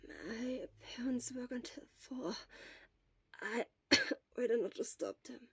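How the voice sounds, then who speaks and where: A woman voicing a sick, exhausted fifteen-year-old boy who speaks haltingly in a weak, breathy, tired near-whisper. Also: a little high, no echo, studio quality, exaggerated voice. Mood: weak, miserable. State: feverish, coughing, tired.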